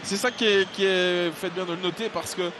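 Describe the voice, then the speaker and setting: A man giving live play-by-play football commentary, calm in analysis and shouting at goals. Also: high-pitched, fairly quick. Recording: loud stadium noise behind.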